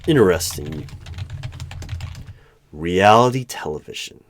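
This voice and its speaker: A low-pitched man acting as an eerie hiring manager for a secretive bureau in an ASMR roleplay. He puts probing questions in a deliberate, halting way, leaving pauses. Keyboard typing and faint noise sit behind his voice. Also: expressive. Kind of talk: one-sided job interview roleplay, ASMR. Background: computer keyboard typing.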